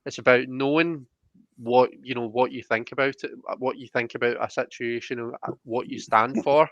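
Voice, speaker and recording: A man's voice: medium pitch, steady tone, moderate pace, a bit bright, no room sound, highs cut off. A man giving a conversational tarot reading, explaining each point in a reflective, searching way. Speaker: tarot reader.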